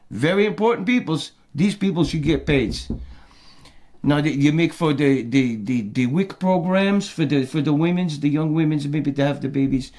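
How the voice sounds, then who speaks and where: A man's voice: on the high side, natural voice. A man putting on Manny, a ranting Portuguese immigrant. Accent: put-on Portuguese. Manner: broken English, talks of himself in third person. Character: ranting middle-aged male immigrant from Portugal. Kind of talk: comedic character monologue.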